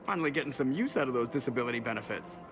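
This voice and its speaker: A man's voice: medium pitch, animated, racing, over music, lightly acted. An actor playing a sardonic, casual, wisecracking crewman in a video game, with a wry delivery.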